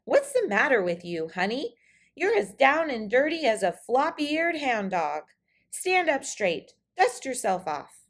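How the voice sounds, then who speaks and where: Woman putting on a feisty, high-pitched old cowgirl fairy godmother. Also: sing-song, full character voice. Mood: brisk scolding. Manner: brisk, bossy, folksy cowgirl talk.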